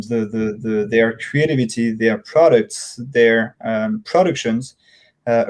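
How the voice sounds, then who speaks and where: A man from France with a French accent, speaking as an interview guest in a halting, conversational way, repeating words as he searches for them. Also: mid-range voice, warm tone.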